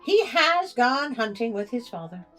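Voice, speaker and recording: A woman acts out a loving, worried queen from a storybook, protective of her boy. She speaks slowly and earnestly in a higher female voice, over soft music. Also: sing-song. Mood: guarded. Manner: earnest, entreating, old-fashioned phrasing.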